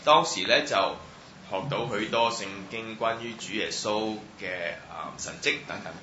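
A man interpreting a testimony line by line at church, halting with many fillers. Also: fairly low pitch, sharp tone. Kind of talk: live sentence-by-sentence translation. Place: church hall. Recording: roomy, muffled hall sound with faint noise.

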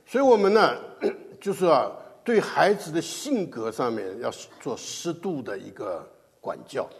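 A man preaching a sermon with a loud voice, speaking in an animated, emphatic way. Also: fairly high pitch, a little slow, creaky. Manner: animated, emphatic, laughs at times. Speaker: pastor. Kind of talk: sermon in Mandarin with live interpretation. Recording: echoey hall.

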